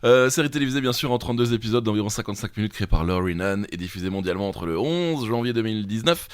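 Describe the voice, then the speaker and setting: A man's voice: on the low side, expressive, fast talker, clear, resonant tone, no echo. A man co-hosting a quiz podcast, playful and teasing, who laughs easily and fills his speech with small verbal tics. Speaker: quiz co-host. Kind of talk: casual quiz podcast banter.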